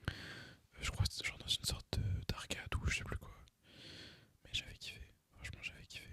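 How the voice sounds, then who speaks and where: A young man whispers in a casual, hesitant, unhurried ASMR ramble as a gaming channel host. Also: bright, forceful tone, dry recording. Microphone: microphone recorded through a phone, voice-over on gameplay.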